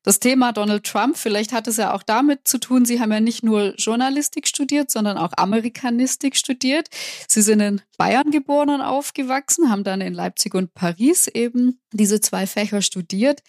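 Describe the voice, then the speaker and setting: A woman's voice: a little high, moderate pace, a little mellow, no echo. Formal woman podcast interviewer, dry sound. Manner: polite, formal, introduces guest and topics. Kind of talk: structured interview hosting.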